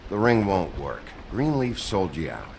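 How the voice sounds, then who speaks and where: A world-weary, commanding sheriff for a noir game. His voice is on the low side with a lively range, and his delivery is blunt and terse. Faint rain ambience is behind him. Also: unhurried, creaky, noisy background, exaggerated voice. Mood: flat, blunt. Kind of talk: noir video game voice acting.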